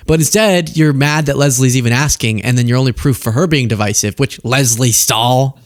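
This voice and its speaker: A man's voice: medium pitch, moderate pace, no echo, studio quality. An American man hosting a political show, reacting to news clips in a casual, sarcastic and incredulous conversational way. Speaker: political commentary show host.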